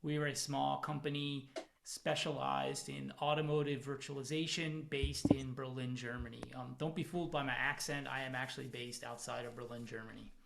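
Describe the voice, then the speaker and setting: A man presenting as a product manager at a technical conference, talking from home over a video call in a relaxed, self-deprecating way with plenty of fillers. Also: medium pitch, normal speed, creaky, noisy background. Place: home, in the evening.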